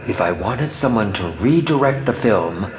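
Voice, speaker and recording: A man's voice: fairly low pitch, expressive, moderate pace, lightly acted. Man voicing a flamboyant, swooping villain film director. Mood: menacing, mocking. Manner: flamboyant, theatrical, gleeful cackling. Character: sadistic, theatrical horror film director villain. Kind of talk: video game villain voice acting. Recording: echoing long reverb, music behind.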